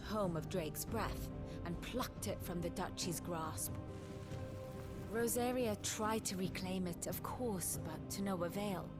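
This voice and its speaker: A woman's voice: on the low side, lightly acted. A woman playing a wry, learned scholar. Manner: measured, lecturing, precise. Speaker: scholar explaining history. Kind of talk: video game cutscene acting. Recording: loud score music underneath. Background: loud orchestral music.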